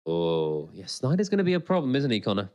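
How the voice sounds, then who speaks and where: Excitable man, lively swooping pitch. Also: a little fast. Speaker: co-host of a TV commentary podcast. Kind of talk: casual reaction commentary, chatty.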